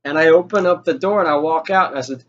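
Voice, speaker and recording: A man's voice: a little high, fast. A young man telling a casual story in a rambling, informal way with some swearing. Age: young adult. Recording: dull lo-fi audio with noticeable noise.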